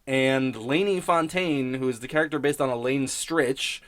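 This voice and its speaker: A man hosting a TV criticism podcast in a casual, rambling, halting and sardonic way, prone to swearing. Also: medium pitch, fairly bright tone.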